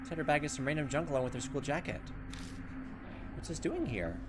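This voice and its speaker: A man's voice: fairly high pitch, lively intonation, a little fast, noisy background. A man hosting a gaming video, reading on-screen story text aloud dramatically and breaking in with playful asides. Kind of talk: let's play commentary, reading game text aloud.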